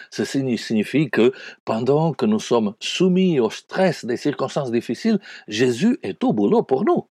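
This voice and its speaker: Italian-accented pastor, dark and lively. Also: male voice, fairly low pitch, husky, no room sound, studio quality. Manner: earnest, pastoral, addresses listeners as friends. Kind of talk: radio devotional message.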